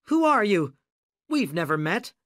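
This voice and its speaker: A man voicing an excitable, easily flustered movie studio boss in an audiobook story, with emphatic delivery. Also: mid-range voice, takes it slowly, no room sound. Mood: frightened outrage.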